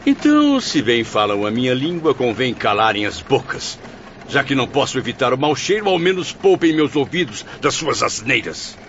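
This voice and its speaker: A man's voice: medium pitch, big pitch swings, moderate pace, a little hiss or hum. An actor playing a remorseful man, a soul rescued from darkness, who narrates his memories and snaps at his companions. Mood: scornful. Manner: emotional, sharp-tongued with companions. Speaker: first-person narrator and lead. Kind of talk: radio drama acting. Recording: dull lo-fi audio with music bed.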